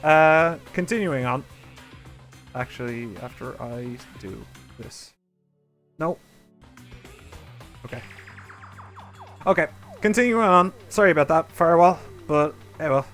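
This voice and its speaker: A man's voice: a little high, slow pace, long pauses, fairly bright tone. Chatty male let's play streamer. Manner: chatty, rambling, talks to chat. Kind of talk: casual let's play commentary. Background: game music.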